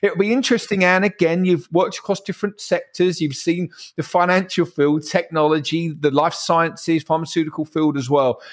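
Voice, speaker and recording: Energetic man asking long questions. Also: fairly high pitch, normal speed, few pauses. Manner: energetic, rambling questions with restarts. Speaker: interview host. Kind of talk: interview questions.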